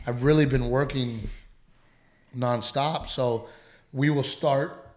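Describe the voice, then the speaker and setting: Male co-host, casual home podcast, a bit hoarse with a cough, meandering delivery, roomy sound. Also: mid-range voice, steady tone, a little hiss or hum. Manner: rambling, halting, full of restarts. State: lingering dry cough. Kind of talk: casual chat.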